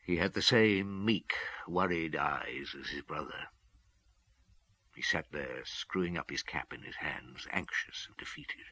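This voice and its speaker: An actor playing a reflective police detective, recounting a past case in a measured way for a radio drama. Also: male voice, rumbling low, pushed and bright, husky, dry recording, exaggerated voice. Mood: musing, sombre. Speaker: detective narrator. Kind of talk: old radio drama storytelling.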